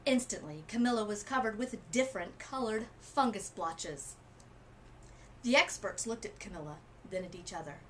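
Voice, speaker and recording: A woman narrates a children's picture book in an expressive read-aloud style. Her phrasing is deliberate and dramatic, at a medium pitch, with noisy ambience in the recording. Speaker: literacy coach reading a picture book aloud.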